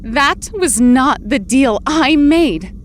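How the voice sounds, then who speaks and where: An actress playing an anguished but determined heroine in an audio drama, with an emotional, pleading delivery. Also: female voice, high-pitched, lively intonation, takes it slowly, slight background noise. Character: anguished, determined heroine back from presumed death.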